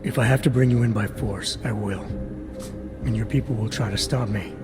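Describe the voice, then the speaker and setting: An actor playing a stern, pragmatic agent in a video game cutscene, speaking in a blunt, matter-of-fact way. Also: male voice, fairly low pitch, normal speed, lightly acted. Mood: firm, persuasive. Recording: music behind the voice.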